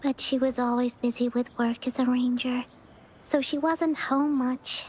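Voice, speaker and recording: A woman's voice: high-pitched, fairly even intonation, a little slow, a little hiss or hum. A woman voicing a hopeful but sad little girl in a video game, speaking in a hesitant, wistful way. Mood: wistful, earnest.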